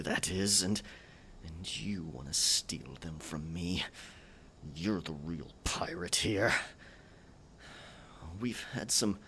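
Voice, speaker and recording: Gravelly, theatrical pirate captain, played by a man. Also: lowish voice, a bit bright, a little hiss or hum. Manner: dramatic, halting, stammering, archaic pirate speech. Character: theatrical sentimental male pirate captain, earnest and dramatic. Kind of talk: scripted roleplay audio drama, pirate ASMR.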